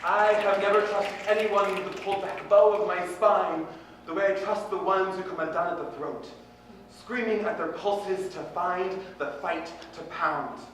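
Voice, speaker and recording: A man performing spoken-word poetry with impassioned, deliberate, earnest phrasing. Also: high voice, fairly even intonation, a bit bright. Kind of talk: slam poetry recitation, dramatic and earnest. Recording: very echoey room, distant mic, faint noise.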